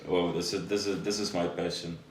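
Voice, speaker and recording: Deep-voiced hesitant rapper, echoey far recording. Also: male voice, level tone. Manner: hesitant, slow. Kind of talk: casual interview answers. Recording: echoey room, distant mic, music behind.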